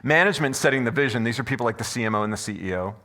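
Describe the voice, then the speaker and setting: Male conference presenter, loud and animated, quick delivery, bright forceful tone. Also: medium pitch. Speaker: conference speaker. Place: conference room before an audience. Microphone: stage microphone.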